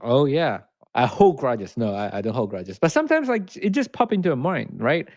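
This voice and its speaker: A man's voice: medium pitch, very animated, a little fast, a little mellow, no room sound, studio quality. A young man from Malaysia with a Malaysian accent, a podcast producer chatting playfully and filling his speech with "like". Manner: playful, chatty, many fillers. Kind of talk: casual podcast chat.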